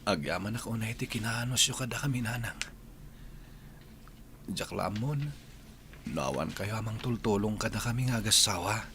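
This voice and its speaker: A man acting a gentle, earnest, worried husband in a radio drama, with an Ilocano accent. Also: on the low side, expressive, moderate pace, pauses often, background noise. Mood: grateful, subdued.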